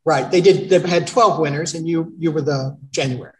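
A man's voice: fairly high pitch, a little fast, poor audio. A middle-aged man co-hosting a casual video call chat, talking in a rambling, easygoing way. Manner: chatty, rambling, with restarts. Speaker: co-host, painter. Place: home studio with paintings on walls.

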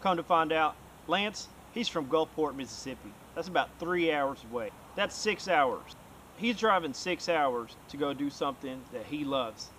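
A man's voice: a little high, normal speed, a little hiss or hum. A man, talking casually and energetically to the camera outdoors by a bay. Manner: energetic, loose, swears casually. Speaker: vlog host.

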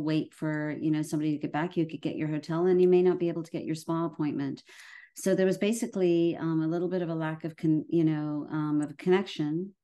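Low, mellow Irish woman presenting. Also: lo-fi sound. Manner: hesitant, many fillers and restarts. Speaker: webinar presenter. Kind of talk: casual product presentation. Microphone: video call.

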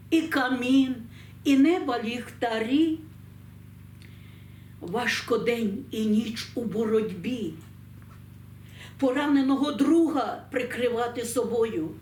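Echoey room with faint noise, woman, solemn poetry recitation, very slow deliberate phrasing, expressive pauses. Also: on the high side, far from the mic. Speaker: program presenter and poetry reader.

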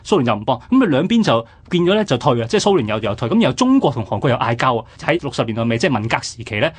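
Husky, fast-talking Hong Kong Cantonese man. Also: mid-range voice, sing-song. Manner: colloquial, explanatory, rhetorical questions. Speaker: radio history commentator. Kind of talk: conversational history explanation.

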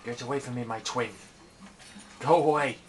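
A man's voice: mid-range voice, fairly even intonation, normal speed, soft background music. A man giving casual, self-deprecating commentary over his own gameplay, swearing and muttering to himself. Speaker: gaming channel host.

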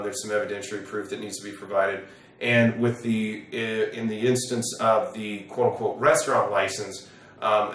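A middle-aged American man, an attorney explaining to camera in a measured, wordy way in an office. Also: on the low side, fairly bright tone. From United States. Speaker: attorney, presenter. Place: small wood-panelled office, indoors. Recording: roomy, echoing sound from a distance.

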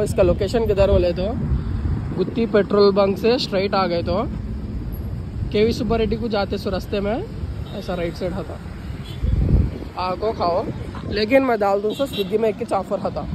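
Young man with a lilt, high voice. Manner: chatty, loose, talks to viewers while walking. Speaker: food vlogger. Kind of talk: casual vlog walkthrough. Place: outdoor restaurant area at night. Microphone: phone held at arm's length. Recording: noisy outdoor phone recording.

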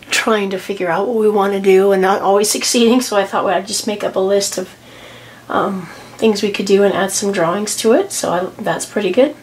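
A middle-aged woman with a hoarse voice from a cold, chatting casually as she walks through her journal pages, with lots of ums and restarts. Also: medium pitch, slight background noise, low-quality recording. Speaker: journaling vlogger. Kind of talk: casual tutorial walkthrough. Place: home desk, camera over a tablet.

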